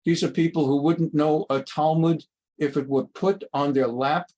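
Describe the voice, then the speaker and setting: Emphatic man with a slightly high voice. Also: steady tone, normal speed, warm tone. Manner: emphatic, rhetorical questions, acts out dialogues. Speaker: rabbi, interview guest. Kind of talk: opinionated interview answer.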